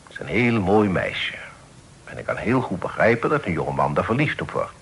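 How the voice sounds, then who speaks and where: An actor playing a stern but fair middle-aged father in a period radio drama, speaking formally and in measured, admonishing phrases. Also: deep voice, sing-song, gravelly, exaggerated voice. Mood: grave, reproving. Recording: noisy old radio play recording.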